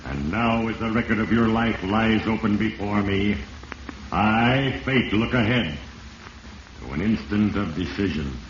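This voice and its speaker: A man's voice: on the low side, natural voice. Man as solemn, echoing voice of Fate. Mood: ominous. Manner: solemn, deliberate, ominous pronouncements. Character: Fate personified, grave all-knowing male narrator passing judgement. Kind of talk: 1940s radio mystery narration. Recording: muffled, echoey, noisy 1940s radio recording.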